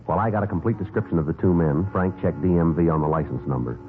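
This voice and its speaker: Low, clipped deadpan police detective, played by a man. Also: fast talker, close to an everyday voice. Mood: matter-of-fact. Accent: American. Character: terse, businesslike male police detective, stern and unflappable. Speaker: lead actor and narrator of a police radio series. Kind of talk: 1950s radio drama acting and clipped narration. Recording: narrow-band 1950s radio recording with music.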